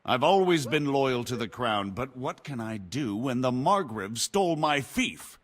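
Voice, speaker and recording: An actor playing a scheming imprisoned knight who talks slyly and self-pityingly in a video game cutscene. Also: male voice, medium pitch, big pitch swings, unhurried, a bit bright. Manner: sly, wheedling, self-pitying. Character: scheming imprisoned robber knight, older man.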